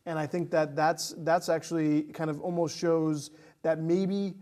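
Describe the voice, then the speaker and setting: A man speaking as a guest in a casual film discussion, halting and searching for his words. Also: fairly high pitch, little pitch movement, a little mellow. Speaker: podcast guest.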